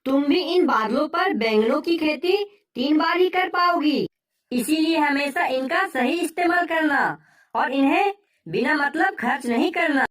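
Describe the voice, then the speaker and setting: A kind fairy from a cartoon story, put on by a woman narrator. She speaks gently, giving careful instructions. The voice is pitched a little above the narrator's own. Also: expressive, unhurried, far from the mic. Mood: instructing.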